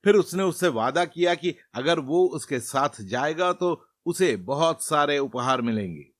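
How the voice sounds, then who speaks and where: A man narrating a scripted fairy tale as a storyteller, in a measured and expressive manner. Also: on the high side, no room sound.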